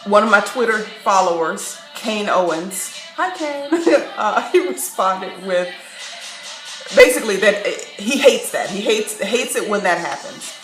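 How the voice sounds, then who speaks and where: A woman from Chicago vlogging straight to camera, candid and wry, with frequent laughs. Her voice rings in a roomy, echoing space, and faint music sits low behind her. She is mid-pitched for a woman, with lively asides. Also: unhurried. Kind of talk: casual storytelling monologue. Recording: echoey room, faint background music.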